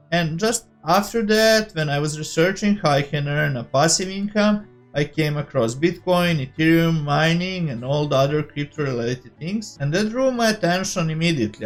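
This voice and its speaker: A man with a slightly high voice and a Serbian accent, a native Serbian speaker, hosts an online channel. He delivers a prepared introduction straight to his audience. Faint music plays behind him. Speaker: host of a cryptocurrency investing channel. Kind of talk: scripted introduction to camera.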